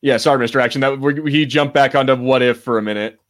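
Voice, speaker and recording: A man's voice: medium pitch, fairly even intonation, fast talker, a bit bright. A man with a mid-range voice chats as a podcast co-host. He rambles informally, with plenty of slang and the odd swear word. The style is loose pop-culture conversation. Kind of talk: casual pop-culture chat.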